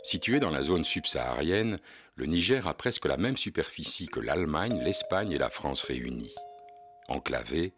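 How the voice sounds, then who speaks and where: A man reading a scripted documentary narration in an even, informative way. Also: very deep voice, moderate pace. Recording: narration over loud music.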